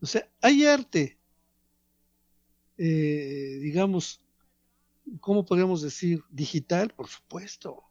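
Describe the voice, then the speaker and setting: Man, professorial radio commentator, slow deliberate delivery with pauses. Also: on the high side, expressive, no room sound, dull audio. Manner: measured, professorial, pauses between phrases. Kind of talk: reflective radio talk on art.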